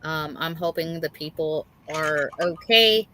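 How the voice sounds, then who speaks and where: A woman's voice: low-pitched, slow, sharp tone, slight background noise. A middle-aged woman co-hosting a livestream in casual, folksy chat, reading out and greeting viewers. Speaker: livestream co-host.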